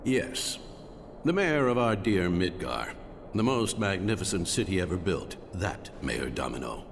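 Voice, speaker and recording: An actor playing a courteous, unctuous mayor's aide in a video game, speaking politely and formally. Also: male voice, low-pitched, sing-song, a little slow, creaky, a little hiss or hum, full character voice. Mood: smoothly deferential. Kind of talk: dramatic video game acting.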